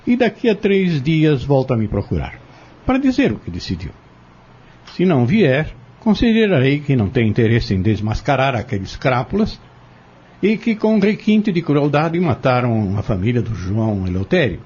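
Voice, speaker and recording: A man playing a husky, insistent schemer. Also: mid-range voice, very animated, moderate pace, darkish tone, dull audio. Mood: urgent, persuasive. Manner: persuasive, goading, formal wording. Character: insistent, scheming man bent on exposing a corrupt family. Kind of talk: audio drama acting.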